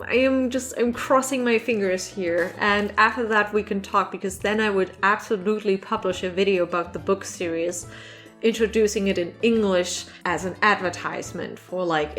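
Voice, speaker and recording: A woman giving casual Q&A answers to her viewers as a webcomic artist, in an informal, animated and emphatic way. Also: medium pitch. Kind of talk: casual Q&A vlog chat.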